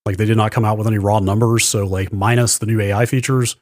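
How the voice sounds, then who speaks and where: A man's voice: lowish voice, fairly even intonation, fast, dry recording, clean studio sound. A man co-hosting a podcast, explaining things casually and in detail with careful qualifications. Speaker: podcast host. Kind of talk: casual tech news discussion.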